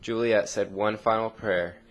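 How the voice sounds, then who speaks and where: A man reading a scripted story narration with dramatic pauses, in a noisy amateur recording. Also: on the low side, fairly even intonation.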